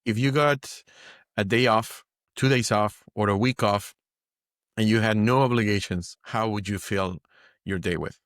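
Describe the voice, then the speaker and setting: A man's voice: on the low side, a little slow, a bit bright, no room sound, studio quality. A man hosting a podcast who speaks English as a second language and asks his questions in a teasing, self-deprecating way. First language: not English. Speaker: podcast host. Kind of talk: casual interview.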